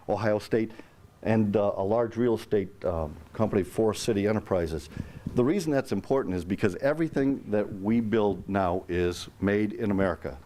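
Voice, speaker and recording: A man giving a brisk, promotional business presentation at a roundtable, with some fillers. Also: fairly low pitch, warm tone.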